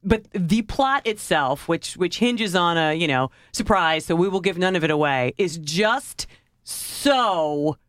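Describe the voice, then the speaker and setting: A woman's voice: on the low side, animated, measured pace, fairly bright tone, no echo. A woman co-hosting a film review show, chatting casually and emphatically about movies, often repeating words for stress. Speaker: film review podcast co-host. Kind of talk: casual conversational movie criticism.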